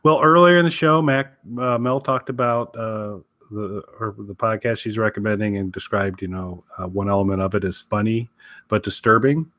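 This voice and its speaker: A man's voice: lowish voice, fairly even intonation, normal speed, no room sound. A man co-hosting a podcast in casual chat, rambling and correcting himself as he goes. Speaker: podcast co-host. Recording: dull, lo-fi close dry audio.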